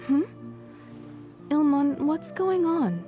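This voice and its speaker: An actress playing a gentle, polite mother and devoted wife in a video game, with a soft, courteous manner. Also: high-pitched, very animated, takes it slowly, heavily acted. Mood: concerned, soothing. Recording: faint music behind the voice.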